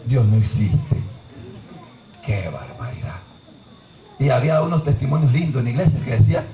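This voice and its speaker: A man preaching a fiery sermon, dropping to quiet asides and then shouting out Bible verses. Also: a little high. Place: church sanctuary with congregation. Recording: echoey church with crowd noise.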